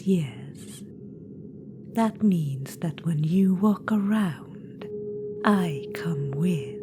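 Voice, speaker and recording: Whispery bossy witch-queen ghost, a woman doing her, slow, a bit low, hiss behind. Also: full character voice. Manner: condescending, teasing, commanding. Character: imperious undead witch-queen spirit, female, scheming. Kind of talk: ASMR audio roleplay. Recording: clear background noise.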